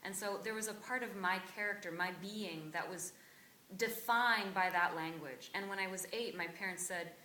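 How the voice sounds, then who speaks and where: A woman's voice: medium pitch, normal speed, sharp tone, a little hiss or hum. A woman from Brazil, a cast member, giving an earnest personal reflection at a theatre talkback. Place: theatre auditorium. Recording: echoey theatre, distant mic.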